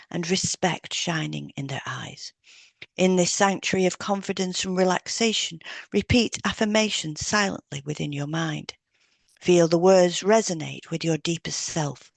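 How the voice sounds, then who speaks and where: Woman podcast host and therapist, soothing and measured, with a creaky edge and a very dry sound. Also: fairly low pitch, no echo. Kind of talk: guided relaxation script reading and scripted podcast discussion.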